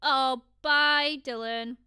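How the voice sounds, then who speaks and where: A woman's voice: sits high, fairly even intonation, drawn-out words, bright, forceful tone, clear, resonant tone, no echo. A woman streaming a casual let's play, rambling and throwing in reactive asides as game music plays behind her. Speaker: streamer reading all characters.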